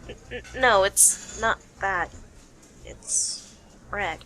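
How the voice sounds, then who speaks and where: A woman's voice: mid-range voice, drawn-out words, pushed and bright, a little hiss or hum, exaggerated voice. A woman playing a shrewd, self-assured detective in an amateur radio play, explaining with dramatic deliberation. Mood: pensive.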